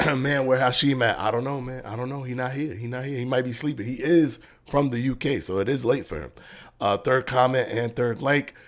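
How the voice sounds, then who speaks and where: A man's voice: mid-range voice, normal speed, fairly bright tone. A man hosting a live boxing stream, chatting casually, rambling and restarting his phrases while reading out chat comments.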